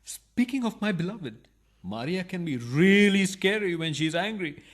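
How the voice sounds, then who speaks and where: High-pitched, animated, cheeky burglar played by a man. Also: measured pace. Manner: protesting, polite, comically literal. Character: indignant, cheeky male burglar, married, comically literal. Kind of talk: farcical radio play acting.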